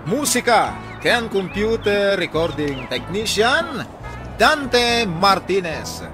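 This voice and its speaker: High-pitched, theatrical Ilocano male radio announcer. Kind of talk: dramatic scripted radio announcing.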